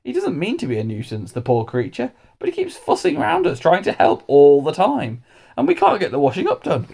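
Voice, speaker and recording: A man's voice: a little high, huge pitch swings, a little fast, vocal fry, a little mellow, husky, voice pushed up, heavily acted. A man voicing a flustered, fussy female school dinner lady who complains in exasperation, for a storybook. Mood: exasperated. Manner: exclamatory, exasperated.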